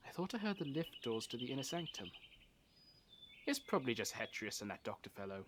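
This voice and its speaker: A wry, protective companion played by a man in an audio drama. The voice is mid-pitched and lively, dryly grumbling, with faint background noise. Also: fairly quick, lightly acted. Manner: dry, grumbling, repeats words. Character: wry, protective companion of a restless young woman.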